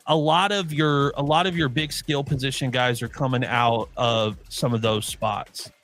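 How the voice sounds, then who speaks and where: A man's voice: medium pitch, a bit bright. A man from the Midwest with a mix of Midwestern and Oklahoma accent, co-hosting a casual sports chat and rambling with many restarts. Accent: mixed Midwestern and Oklahoma. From Midwestern United States. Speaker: co-host.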